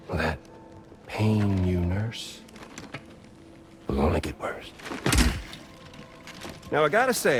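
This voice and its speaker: A menacing video game villain with a drawl and a fairly low voice. He taunts theatrically, swooping widely up and down in pitch, with music playing behind him. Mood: taunting. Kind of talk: video game cutscene acting.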